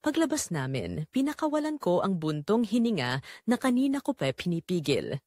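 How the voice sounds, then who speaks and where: A woman's voice: lowish voice, sing-song, normal speed, darkish tone, dry recording, clean studio sound. A woman narrating a dramatic first-person story in an emotive way.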